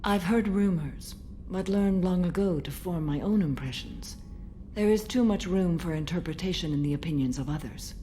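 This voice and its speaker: A woman's voice: on the low side, normal speed, warm tone, slight background noise, close to an everyday voice. A woman playing a serene, ancient alien warrior in a video game, speaking formally and with solemn composure.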